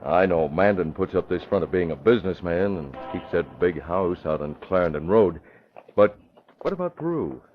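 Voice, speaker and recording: An actor playing a shrewd, probing newspaper publisher in 1940s radio style, with an inquisitive, purposeful manner. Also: male voice, low-pitched, lively intonation, moderate pace. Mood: curious, probing. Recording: muffled old radio broadcast.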